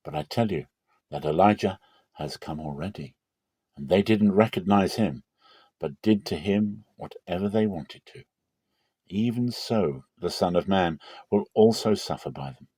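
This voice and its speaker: A man voicing a solemn, authoritative male teacher and prophet in a scripture reading, grave, emphatic and deliberate. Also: low-pitched, dark tone, breathy edge, dry recording. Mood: gentle authority.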